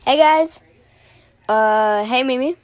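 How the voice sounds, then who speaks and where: A woman acting out lines as voiceover for an animated fan skit. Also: high voice, slow pace, soft background music.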